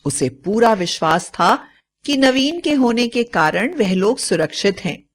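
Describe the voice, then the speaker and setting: Slow-paced woman narrating a story. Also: a little high, lively intonation. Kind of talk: scripted bedtime story narration.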